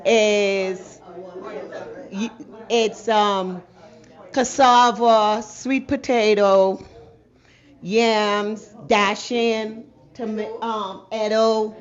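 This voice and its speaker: Very slow, hesitant woman. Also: medium pitch, fairly even intonation. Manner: hesitant, searching for words. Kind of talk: casual explanation on a panel. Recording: dull, slightly muffled sound.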